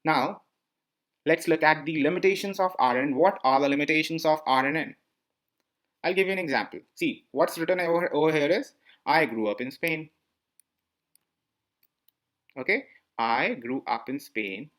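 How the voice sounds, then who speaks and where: Man, slightly high voice, teacher, talks over slides, repeats himself, quizzes the listener. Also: lively intonation, normal speed, long pauses, a bit bright. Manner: repetitive, asks listeners questions. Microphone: voice-over on slide screencast.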